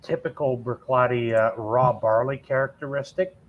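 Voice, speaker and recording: A man on a casual live whiskey chat, giving measured tasting notes. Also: medium pitch, phone-quality sound, a little hiss or hum. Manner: measured, tasting-note descriptions.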